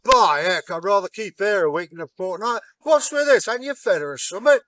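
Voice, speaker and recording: A man voicing a dim, blustering male frost giant, loud, gruff and boastful. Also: sits high, animated, unhurried, far from the mic, voice pushed up, full character voice.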